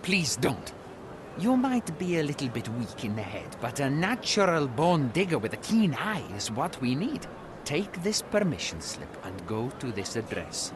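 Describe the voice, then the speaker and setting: Brief: gruff wary recruiter, lively pitch, street noise behind. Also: male voice, a little slow. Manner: blunt, wary. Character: gruff male dig recruiter, suspicious and blunt. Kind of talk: video game voice acting.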